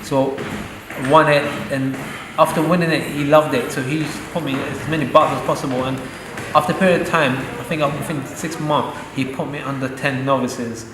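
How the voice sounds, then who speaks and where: A man's voice: mid-range voice, fairly even intonation, normal speed. A young man, a professional boxer born in Saudi Arabia, speaks earnestly and proudly in a pre-fight interview, with the odd joke. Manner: earnest and proud, joking now and then. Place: boxing gym. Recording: echoey gym with loud ambient noise.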